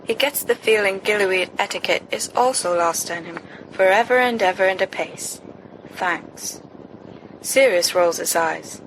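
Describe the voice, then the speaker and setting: A woman reading fan fiction aloud as an amateur narrator, in an expressive storytelling style. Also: mid-range voice, normal speed. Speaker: volunteer audiobook reader. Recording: noisy amateur home recording.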